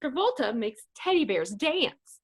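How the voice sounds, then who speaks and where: A woman's voice: high-pitched, sing-song, measured pace, husky. A woman comedian narrating a comedic radio play.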